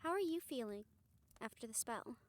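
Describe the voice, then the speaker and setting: Woman acting a weary, higher-voiced female vampire hunter. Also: expressive, moderate pace, no echo, close to an everyday voice. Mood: weary, musing. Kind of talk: intimate audio roleplay to the listener.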